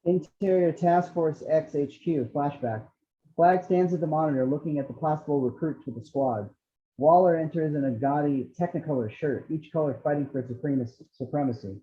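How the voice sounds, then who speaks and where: A man's voice: on the high side, normal speed, mellow. A man reading out screenplay action descriptions as the narrator of a table read. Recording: slightly dull lo-fi microphone.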